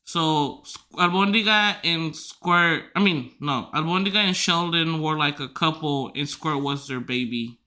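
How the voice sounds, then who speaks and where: A man hosting a podcast who tells rambling stories loudly, swearing freely and filling his talk with "like". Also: a little high, unhurried, hardly any pauses. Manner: loud, swears freely, filler-heavy rambling. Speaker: podcast host. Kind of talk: casual storytelling chat.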